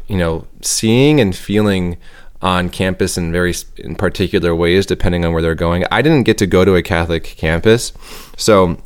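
A man's voice: sits low, smooth. A young man co-hosting a faith podcast, talking in an earnest, reflective way as he searches for his words. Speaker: podcast co-host and storyteller. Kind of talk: casual podcast chat.

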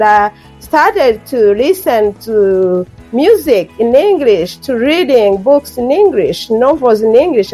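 A woman's voice: a little high, rough recording. Woman, earnest, repetitive phrasing, light music behind. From Rwanda. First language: Kinyarwanda. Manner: earnest, repeats phrases, frequent ums. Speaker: guest author. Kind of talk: interview conversation.

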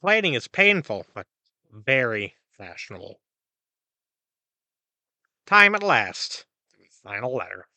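A man's voice: mid-range voice, big pitch swings, takes it slowly, gravelly, pauses often, sharp tone, dry recording, lo-fi sound, full character voice. A man playing a cheerful, deluded god who hosts an advice podcast, in a breezy, theatrical comedic audio drama style. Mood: breezy, mock-reassuring.

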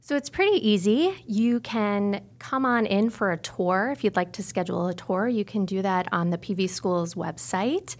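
A woman's voice: mid-range voice, moderate pace, hardly any pauses. An American woman speaking as an interview guest, an articulate teacher explaining and promoting a school program. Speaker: arts teacher, podcast guest. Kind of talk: informational interview answers.

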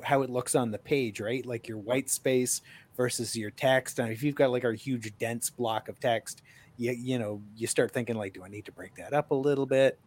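A man's voice: mid-range voice, darkish tone. A man in a casual chat, talking as an author among fellow writers. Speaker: published author on a panel.

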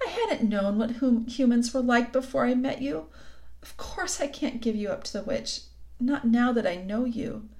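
A woman's voice: on the high side, expressive, normal speed, darkish tone. A woman reading aloud, voicing a timid, frightened male faun who cries and pleads. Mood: gentle sad. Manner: weeping, pleading.

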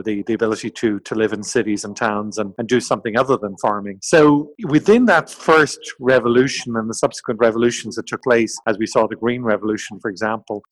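Middle-aged Irish man, podcast guest, fluent and expansive, mid-pitched. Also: a bit bright, poor audio. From Ireland. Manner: fluent, expansive explaining with frequent restarts. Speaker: guest, agritech company CEO. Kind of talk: podcast interview.

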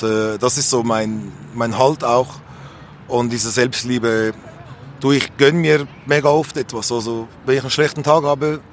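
A young man speaking spontaneously and earnestly in a street interview, giving his opinions insistently. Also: mid-range voice. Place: outdoors on an urban street. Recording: faint urban street and traffic noise.

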